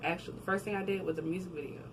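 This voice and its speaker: A young woman from New Jersey, a guest in a casual interview, telling her story with animated quoting and frequent laughter. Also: on the low side, rapid-fire. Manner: animated storytelling, laughs often, acts out quotes. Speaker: guest, record label marketing admin. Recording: distant mic with background noise.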